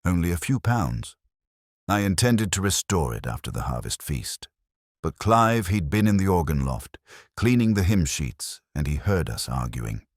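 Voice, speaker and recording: A man voicing a frightened, guilt-ridden parish churchman in a storybook, pleading and earnest as he confesses haltingly. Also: low voice, sing-song, dry recording, clean studio sound. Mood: anxious, confessing. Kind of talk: dramatised audiobook dialogue.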